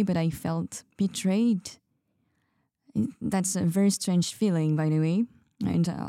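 Woman acting as dreamy, mellow-voiced young-hearted artist. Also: on the low side, dry recording, clean studio sound. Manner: hesitant, halting, musing. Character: Emile, dreamy hesitant female artist from closed community. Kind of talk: naturalistic radio drama interview.

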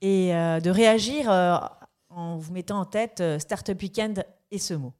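A woman hosting a podcast interview, asking questions in a measured, thoughtful way. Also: on the low side, darkish tone. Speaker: podcast host.

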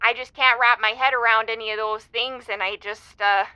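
Casting: woman acting a folksy married caller, nervously cheerful and bewildered; high, bright voice, slightly lo-fi dry recording. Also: fairly even intonation, moderate pace, clear, resonant tone, no echo, close to an everyday voice. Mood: baffled. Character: chirpy, baffled married woman meteorologist calling for advice. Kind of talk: semi-improvised comedic audio drama.

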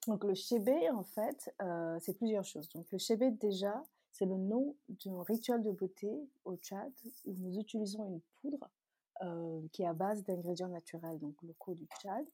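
A woman's voice: mid-range voice, normal speed, warm tone, breathy edge, dry recording. A woman from Chad whose native language is Tazaga, answering interview questions conversationally in an explanatory way. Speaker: interview guest, beauty brand founder.

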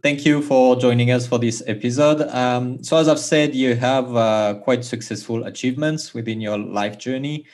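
A man's voice: mid-range voice, steady tone, moderate pace, few pauses, darkish tone. A man hosting a podcast, opening the episode and asking questions in a formal, welcoming manner. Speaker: podcast host. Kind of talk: podcast interview.

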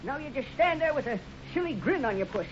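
Very high, querulous old-timer. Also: male voice, lively intonation, fast talker. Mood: exasperated. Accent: American. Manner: querulous, folksy. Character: fussy, flustered old male night watchman. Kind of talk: 1950s radio serial acting. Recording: muffled, noisy old radio recording.

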